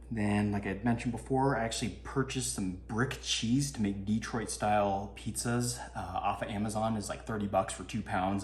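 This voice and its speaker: A man's voice: fairly low pitch, reverberant, a little hiss or hum. A man chatting casually as he cooks in his home kitchen, laid-back and swearing now and then. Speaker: home cook vlogger. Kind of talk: casual cooking narration.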